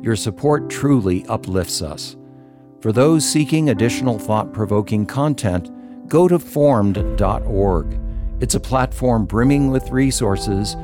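A man reading a scripted promotional message as an announcer, in a polished narrated style. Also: fairly low pitch. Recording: guitar music bed behind.

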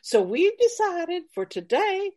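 Folksy radio-comedy cooking hostess acted by a woman, high and very slow, gushing every phrase. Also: big pitch swings, warm tone, heavily acted. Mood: chatty, exaggerated. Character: folksy, effusive woman hosting an old-fashioned cooking show. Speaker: cooking show host. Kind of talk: theatrical radio comedy patter.

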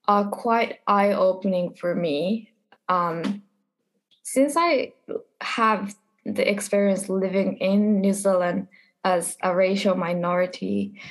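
A woman's voice: medium pitch, rough recording. A young adult woman from Japan, an international university student, answering interview questions in a reflective, searching way.

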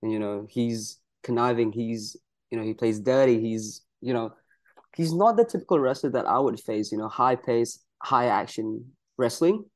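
A man's voice: mid-range voice, normal speed. A young man from Malaysia, a pro wrestler, answering interview questions in an earnest, proud way, with many "you know" fillers.

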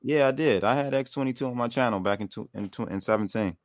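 A man's voice: medium pitch, fast talker, dry recording. Laid-back guy hosting a stream, repeats himself a lot, dry close mic. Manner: easygoing, repeats himself, laughs along. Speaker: crypto channel host. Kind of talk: casual livestream chat.

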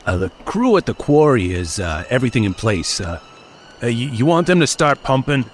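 Stammering timid henchman, acted by a man, jumpy animated pitch, radio play, faint background noise. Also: normal speed, lightly acted. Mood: nervous. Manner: hesitant, stammering. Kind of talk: old-time radio drama acting.

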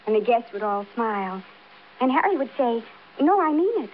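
A woman's voice: fairly high pitch, sing-song, normal speed. An actress playing a fragile, newly widowed American woman, genteel, hesitant and politely evasive, in old-time radio drama. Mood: brittle politeness. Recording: muffled, noisy vintage radio broadcast.

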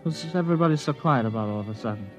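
A man's voice: mid-range voice, lively intonation, racing, lightly acted. A man acting a tipsy, rambling drifter in an old-time science fiction radio drama, with loose, hesitant speech. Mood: tipsy, anguished. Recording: dull vintage radio recording, music.

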